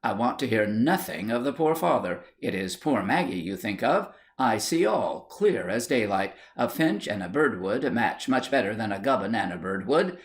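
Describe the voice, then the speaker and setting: A man's voice: on the low side, very animated, moderate pace, hardly any pauses. A man voicing an indignant, jealous and melodramatic young Victorian wife in a storybook, haughty and exclamatory. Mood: scornful jealousy.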